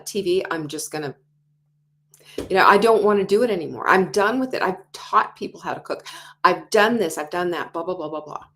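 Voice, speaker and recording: A woman in her sixties hosting a motivational talk show, animated, acting out quoted inner voices and dropping to whispers. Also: lowish voice. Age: 60s. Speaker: host.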